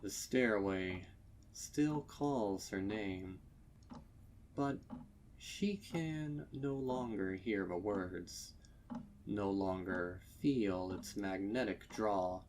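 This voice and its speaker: Horror narrator: male, fairly deep, extremely unhurried, somber dramatic reading, slight background noise. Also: darkish tone. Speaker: fan fiction narrator.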